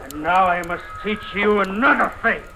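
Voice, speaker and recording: An actor playing an insinuating, accusing village man with deliberate, formal phrasing in a 1950s radio drama. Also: high voice, creaky. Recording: noisy old radio broadcast recording.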